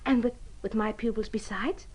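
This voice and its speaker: An actress playing a devoted, excitable young wife in an old radio drama, theatrical and expressive. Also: female voice, on the high side, normal speed, natural voice. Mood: delighted, proud. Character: devoted young wife, struggling music student, proud and excitable. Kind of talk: mid-century radio drama acting.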